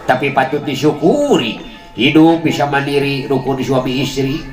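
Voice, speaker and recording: A man's voice: mid-range voice, animated, normal speed. A man with a Sundanese accent tells comic tales in rhymed patter, playful and chuckling as he goes. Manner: playful, chuckles as they talk. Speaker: comic storyteller, show host. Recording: echoey amplified sound with music behind. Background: music.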